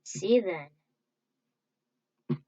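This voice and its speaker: A woman's voice: lowish voice, animated, drawn-out words, warm tone, dry recording, dull audio. A woman narrating her own informal, scripted commentary about video games.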